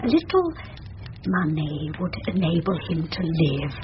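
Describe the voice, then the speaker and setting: A woman's voice: deep voice, big pitch swings, a little slow. An actress playing a kindly, motherly, selfless woman in an earnest, tender style of classic radio drama. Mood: earnest pleading. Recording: dull lo-fi radio recording with hiss.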